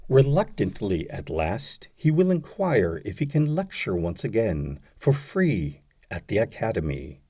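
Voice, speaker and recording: A man reading poetry aloud as an audiobook, in a dramatic recitation with measured, deliberate phrasing and pauses. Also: on the low side, sing-song, dark tone, husky. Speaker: audiobook reader.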